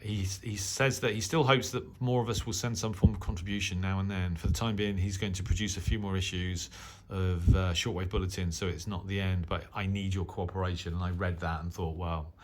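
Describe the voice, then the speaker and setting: A man hosting a hobby channel, talking casually and unscripted in a halting, conversational way with many filled pauses. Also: sits low, steady tone. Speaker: radio hobby channel host. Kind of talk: casual unscripted talk-through of a newsletter.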